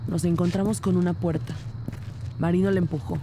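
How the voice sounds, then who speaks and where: A woman's voice: lowish voice, level tone, brisk, noisy background, close to an everyday voice. A woman playing a composed police detective who narrates her investigation in a scripted crime audio drama. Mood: composed, narrating. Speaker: narrator and lead character. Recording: loud music behind the voice. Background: music.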